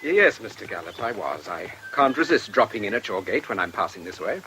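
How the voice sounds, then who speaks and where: A man playing a mild village gentleman with a British accent, courteous and slightly hesitant, in a radio drama. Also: on the low side, big pitch swings, brisk. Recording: radio drama with loud background noise.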